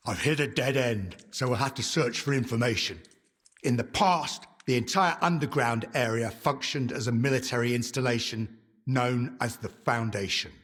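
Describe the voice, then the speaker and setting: Game role: no-nonsense male commander, husky mid-pitched voice, matter-of-fact authority. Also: fairly bright tone. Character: businesslike male leader of armed stalker men. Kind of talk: video game dramatic acting.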